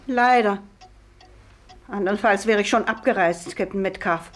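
A woman's voice: medium pitch, unhurried, close to an everyday voice. An actress playing a haughty, fault-finding older lady with an imperious, complaining manner in a 1950s German radio play. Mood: disdainful.

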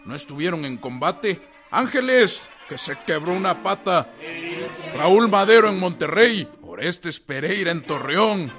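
An actor playing an exasperated, grumbling revolutionary soldier in a radio drama, emphatic and complaining. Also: male voice, sits high, big pitch swings, a little slow, a bit bright, soft background music, heavily acted.

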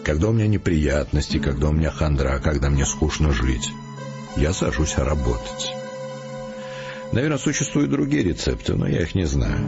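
A very deep male voice as a composed, dryly advising scientist in a radio drama. He speaks in measured, deliberate phrases with wide swings of intonation. The sound is slightly dull, with faint music. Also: full character voice. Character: unflappable, composed male scientist, pipe-smoking friend giving dry advice. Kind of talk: Russian radio play acting.

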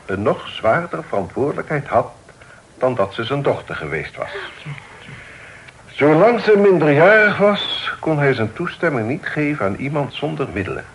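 A man's voice: mid-range voice, fairly bright tone, rough recording. Dignified father, dramatically swooping intonation. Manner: measured, formal, deliberate. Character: sober, reasonable, dignified father of a genteel family. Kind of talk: period radio drama acting. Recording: old radio recording with faint noise.

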